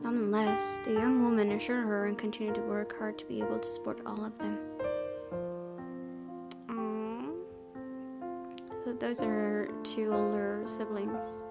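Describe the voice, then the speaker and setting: Female gaming YouTuber, mid-pitched, narrating a visual novel with casual comments, clear music underneath. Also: little pitch movement, unhurried, pauses often. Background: background music.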